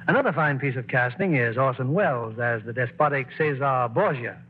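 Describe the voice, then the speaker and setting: A man's voice: mid-range voice, a little fast, husky. A man hosting a radio drama and chatting with a guest in a polished, scripted presenter manner. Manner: polished, formal presenter delivery. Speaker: radio drama host and producer. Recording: dull 1940s radio broadcast.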